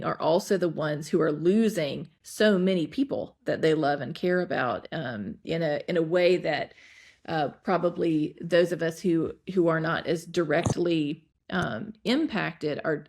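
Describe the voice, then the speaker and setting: A woman hosting a podcast conversation, speaking thoughtfully with frequent pauses and restarts. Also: sits low, darkish tone. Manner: thoughtful, many fillers and restarts. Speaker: podcast host. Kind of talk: reflective conversation.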